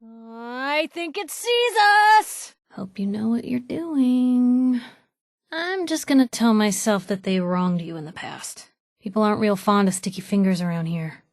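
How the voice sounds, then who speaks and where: A woman's voice: on the high side, animated, unhurried, a little mellow, no echo, clean studio sound. A woman voicing a feisty young female journalist, a wry, wisecracking companion in a video game. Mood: disapproving. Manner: wisecracking, sardonic, slangy. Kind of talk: video game voice acting, short combat and banter lines.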